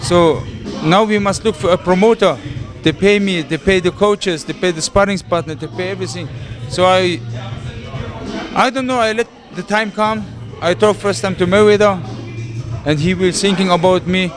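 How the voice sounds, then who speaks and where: A man's voice: high voice, normal speed. Boxer guy in his 30s, german accent, broken english, talking in a gym, handheld mic. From Middle East. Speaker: heavyweight boxer. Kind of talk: interview answers. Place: large boxing gym, indoors. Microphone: handheld interview mic. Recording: clear background music. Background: music in the gym.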